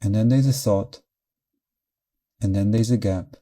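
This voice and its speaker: Very mellow, soft-edged male meditation guide. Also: fairly low pitch. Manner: calm, measured, short phrases with pauses. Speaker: meditation teacher.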